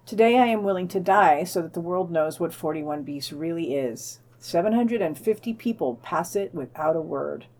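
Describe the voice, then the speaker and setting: A woman's voice: lowish voice, animated, moderate pace, hardly any pauses, darkish tone. A woman co-hosting a podcast, reading a news digest in an informal, wry way. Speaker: podcast co-host and news reader.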